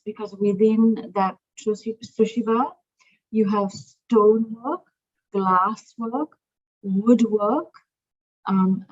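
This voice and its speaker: A middle-aged woman from Sri Lanka, a museum director speaking as a guest in an interview, thoughtful and searching for words with many pauses and fillers. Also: mid-range voice. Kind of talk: interview conversation. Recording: dull lo-fi sound.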